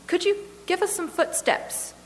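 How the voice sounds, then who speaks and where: A woman's voice: very high voice, measured pace. A woman presenting a scripted introduction to a live radio play from a stage. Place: stage in a hall, live audience. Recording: echoey hall reverb, hissy background noise.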